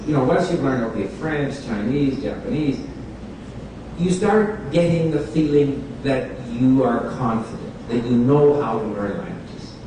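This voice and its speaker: A man's voice: mid-range voice, a little slow. Man speaking in an echoey bookstore. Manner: relaxed, chatty, switches languages, asks the audience questions. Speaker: speaker giving a talk on language learning. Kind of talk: informal public talk to an audience. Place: bookstore event space. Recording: echoey room recorded from far off, background noise.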